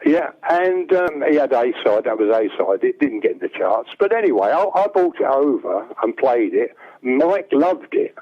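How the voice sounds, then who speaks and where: An older man with a bass-baritone voice, telling rambling stories as a songwriter guest. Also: very animated, normal speed. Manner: rambling anecdotes, acts out quoted dialogue. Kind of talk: casual storytelling. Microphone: telephone. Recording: tinny phone line.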